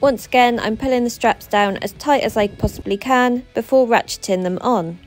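A woman in her thirties, a truck driver, narrating her work in a steady voice-over, explaining each step. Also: on the high side, moderate pace, soft background music.

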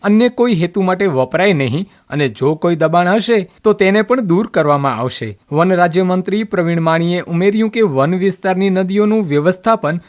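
A man's voice: sits high, lively intonation, normal speed, few pauses. A man with a Gujarati accent reading a scripted radio news report as a reporter.

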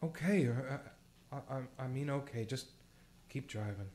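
A man's voice: medium pitch, unhurried, close to an everyday voice. An actor playing a bewildered, desperate family man in a radio play, stammering, agitated and pleading. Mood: frantic. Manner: stammering, excitable.

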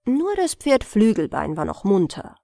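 A woman narrating a bedtime fairy tale as an audiobook storyteller, expressive and unhurried, close to the microphone. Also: medium pitch, no room sound.

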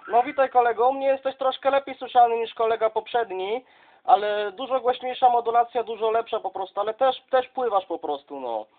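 A man's voice: very high voice, fairly quick. A man from southern Poland making casual, chummy contact as a CB radio operator, addressing others as colleagues. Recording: dull, lo-fi sound.

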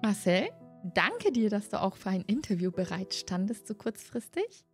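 A woman's voice: mid-range voice, very animated, normal speed. A middle-aged woman hosting a tabletop role-playing channel, chatting casually to viewers into a close desk microphone. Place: indoors at home, video call. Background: music.

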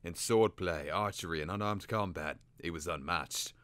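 A man's voice: low voice, normal speed, fairly bright tone, smooth, no room sound. A man voicing an impatient talking caterbird who tells a tale in a storybook. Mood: storytelling. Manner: impatient, hissing. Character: talkative, testy male caterbird storyteller.

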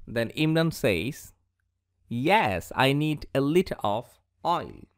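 A man teaching a school lesson in a voice-over, reading a dialogue aloud in a slow, deliberate way. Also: medium pitch, very animated. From Bangladesh. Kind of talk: scripted English lesson for schoolchildren. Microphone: voice-over over slides.

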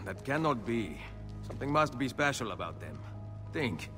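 A man's voice: medium pitch, sing-song, normal speed, close to an everyday voice. Man acting a confident Italian adventurer, music behind. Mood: urgent, focused. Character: confident Italian adventurer with a dry, roguish calm. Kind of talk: video game cutscene acting.

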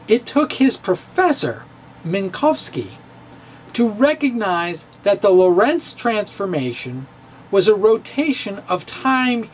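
A man's voice: sits high, slight background noise, rough recording. A middle-aged man giving a playful, theatrical dramatic reading of his own physics blog into a studio mic in a room at home. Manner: theatrical, playful, with pauses and dips. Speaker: physics blogger. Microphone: studio mic in front of him.

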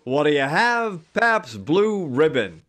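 A man playing an upbeat 1950s radio announcer, reading scripted sponsor copy and credits in a smooth selling style. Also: on the high side, big pitch swings, takes it slowly, over loud music. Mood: formal, cheerful.

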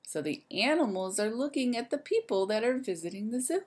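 A woman's voice: on the high side, big pitch swings, a little fast, a little mellow. A woman in her thirties, a teacher reading a picture book aloud to young children in a sing-song way, speaking to them directly.

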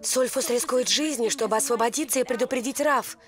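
A woman narrating a dubbed cartoon episode in a quick, dramatic way. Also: a little high, soft background music, lightly acted.

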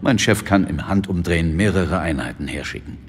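A man's voice: sits low, animated, fairly quick, faint music behind. An actor playing a confident, easygoing male detective hero in an audio drama, informal and decisive. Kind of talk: German audio drama acting. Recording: car and street noise behind.

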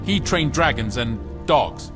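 A man's voice: mid-range voice, expressive, slow pace, fairly bright tone, soft background music. A synthetic male voice playing a lordly fantasy ruler in a video game, speaking in a stilted, solemn way.

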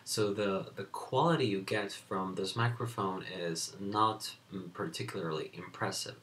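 A young man giving a casual tech review at a desk in a small home room, talking in a plain, measured way with frequent "uh" fillers, recorded on a built-in computer webcam mic. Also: low voice, fairly bright tone. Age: young adult. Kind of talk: casual spoken product review.

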